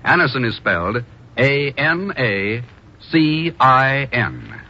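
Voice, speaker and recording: An American man announcing in formal, emphatic 1950s radio style, delivering scripted sales copy and narration in measured phrases. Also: mid-range voice, big pitch swings, background noise. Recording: muffled old radio broadcast.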